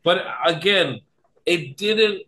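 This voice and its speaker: A man's voice: high voice, takes it slowly, bright, forceful tone. A man in casual podcast banter, talking emphatically with slangy phrasing and repeating himself to make a point. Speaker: podcast guest. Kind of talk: casual banter about movies and games.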